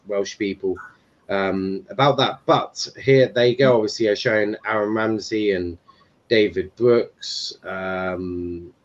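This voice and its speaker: A young man hosting a casual football commentary stream, rambling with fillers and swearing. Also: fairly low pitch. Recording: dull lo-fi stream audio.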